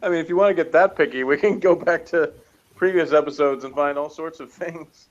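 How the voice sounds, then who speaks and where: A man's voice: on the high side, a little fast, muffled recording. A man reviewing a TV episode in casual, rambling, jokey chat, often chuckling. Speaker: reviewer on a TV show review channel.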